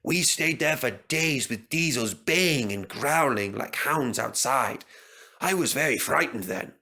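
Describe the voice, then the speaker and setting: A runaway steam engine from a children's storybook, voiced by a man. He sounds weary, grateful and earnest, with a mid-range, bright voice. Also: mid-range voice, animated, measured pace, exaggerated voice.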